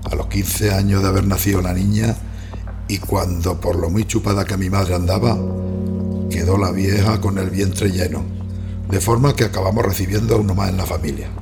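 A man's voice: low voice, steady tone, normal speed, gravelly. An actor with a Spanish accent playing a bitter, solemn peasant who narrates his life confessionally in a radio drama. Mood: grave, bitter. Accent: Spanish, from Spain. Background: music.

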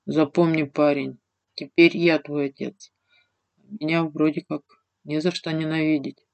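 A calm, protective father figure from a fantasy storybook, read by a woman. He talks softly but firmly, in a low-key way, with a dry, close sound. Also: measured pace, creaky, no room sound.

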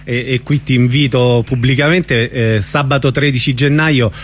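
A man hosting an informal radio interview, speaking haltingly and giving brief supportive replies. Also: medium pitch, fairly even intonation, a little hiss or hum. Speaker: radio host.

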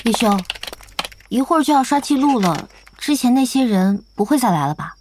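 A woman's voice: on the high side, expressive, moderate pace, a little mellow, a little hiss or hum, lightly acted. A woman playing a cool, frank young gamer in an audio drama, speaking in a matter-of-fact, inquisitive way. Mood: probing, composed. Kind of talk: Chinese audio drama acting.

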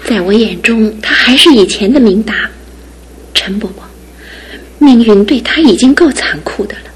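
Young fiancée, radio drama, very breathy husky voice, fairly high, earnest, softly insistent. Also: female voice, lively intonation, normal speed, rough recording. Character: devoted young fiancée, gentle but resolute. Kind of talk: studio radio drama acting.

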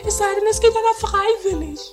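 A woman's voice: extremely high pitch, fast, over music. A woman playing a bossy, bickering sister with a theatrical, curt delivery in a comic radio play. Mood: brisk, bossy. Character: bossy, melodramatic, bickering castle-dwelling sister.

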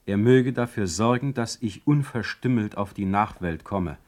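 A man's voice: fairly low pitch, normal speed, darkish tone, lightly acted. A man playing a sardonic, imperious German poet in exile for a radio play, declaiming his words. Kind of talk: radio play acting and letter reading.

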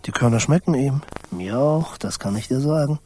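A man's voice: mid-range voice, animated, moderate pace, natural voice. A man playing a chatty, scatterbrained barn mouse in a children's radio play, rambling and forgetful in an offhand way. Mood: chatty storytelling. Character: chatty scatterbrained barn mouse, a family creature writing a letter. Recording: loud music behind the voice.

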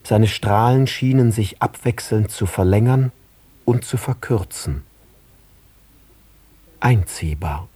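A man narrates literary prose for a radio drama. His voice is low and mellow with a husky grain, recorded very dry. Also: takes it slowly, long pauses, no room sound.

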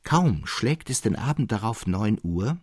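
Husky, very expressive male storyteller. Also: lowish voice, unhurried, no echo, clean studio sound. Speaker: audiobook narrator. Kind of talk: literary scripted narration, dramatic reading.

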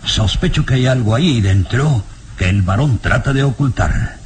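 A male actor playing a suspicious, excitable professor in a Spanish-language radio drama, grumbling and emphatic. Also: mid-range voice, normal speed, a little hiss or hum, close to an everyday voice.

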